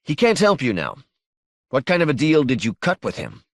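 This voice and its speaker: Male narrator as cold killer, controlled, insistent, precise, menacing, medium pitch, dry sound, audiobook villain. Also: wildly swooping pitch, normal speed, no room sound. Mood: coldly menacing.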